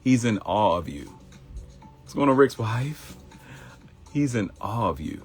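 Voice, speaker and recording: Deep-voiced middle-aged baltimore guy hosting a live morning show, talks right to the viewers, pitch all over the place. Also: a little slow, faint music behind. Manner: animated, acts out quoted voices, addresses viewers directly. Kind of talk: casual livestream talk.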